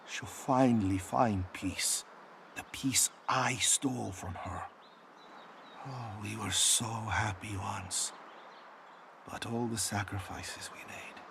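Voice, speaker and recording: An actor playing a heartbroken, guilt-ridden exile in a video game, speaking in a mournful, theatrical way. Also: male voice, on the low side, vocal fry, a bit bright, a little hiss or hum, heavily acted. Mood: pleading sorrow. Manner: mournful, theatrical, slipping into whispers. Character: heartbroken exiled man consumed by guilt over lost lover. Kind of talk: dramatic video game dialogue.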